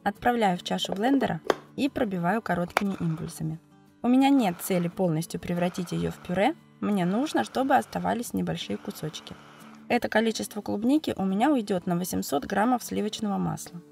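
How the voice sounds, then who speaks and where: A woman with a cold, narrating a cooking video as its host, explaining each step calmly and methodically. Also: mid-range voice, fairly quick, vocal fry, soft background music. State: has a cold, voice affected. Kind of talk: calm recipe voice-over narration.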